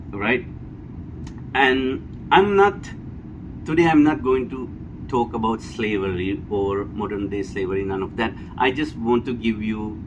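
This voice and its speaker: Blunt Sri Lankan man over noisy background. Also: lowish voice. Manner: emphatic, repetitive, blunt, often seeking agreement with tag questions. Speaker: vlogger giving personal opinion. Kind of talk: unscripted opinion monologue. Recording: clear steady background noise, air-conditioner hum.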